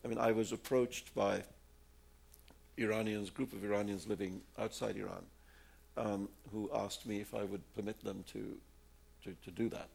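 Gravelly, husky older man. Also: lowish voice, steady tone, moderate pace, a little mellow. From India. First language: Urdu. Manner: rambling with many fillers and repeats. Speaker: author answering audience questions. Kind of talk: live audience Q&A.

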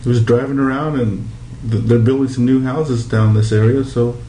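A man's voice: mid-range voice, fairly even intonation, normal speed, warm tone. A middle-aged man from Hawaii being interviewed, reminiscing in casual conversation. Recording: echoey room with background noise.

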